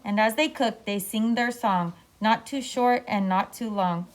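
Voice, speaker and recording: A woman's voice: on the high side, measured pace. A woman reading a rhyming children's story aloud. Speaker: school staff member reading aloud.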